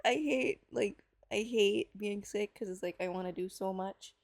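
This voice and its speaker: A woman's voice: mid-range voice, moderate pace, no room sound. A woman in a casual, playful, self-deprecating manner, as in an informal read-aloud recording. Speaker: reader on a personal audio series. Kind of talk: casual chat and reading a novel aloud.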